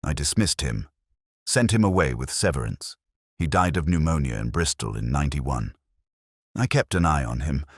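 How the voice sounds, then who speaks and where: A male narrator plays a weary old magistrate from a detective story, burdened with guilt. The voice is very low yet lifts and falls markedly, confessing in halting, formal phrases. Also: expressive, slightly rough, no room sound, clean studio sound, heavily acted. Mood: guilty confession.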